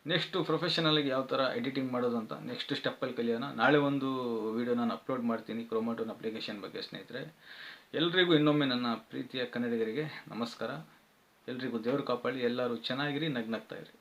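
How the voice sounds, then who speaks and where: Bright, very fast-talking male host. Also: medium pitch, dull audio. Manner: earnest, addresses viewers as friends. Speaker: channel host. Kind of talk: direct address to viewers, casual sign-off. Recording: faint background noise.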